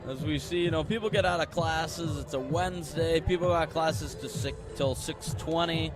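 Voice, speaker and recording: A man's voice: fairly high pitch, normal speed, music in the background. A young American man doing live radio play-by-play, conversational, stretching words on the big calls. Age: young adult. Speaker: play-by-play sports announcer.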